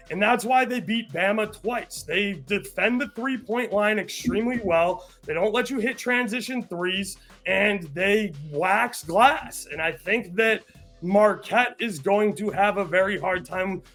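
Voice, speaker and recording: A man hosting a sports podcast, giving steady, opinionated breakdowns in casual talk with frequent fillers. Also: high-pitched. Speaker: podcast host.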